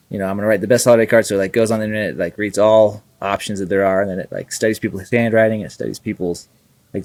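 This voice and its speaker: A man's voice: on the low side, no room sound. A man co-hosting a podcast in casual chat, telling stories in a loose, rambling way. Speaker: podcast co-host, fighter pilot.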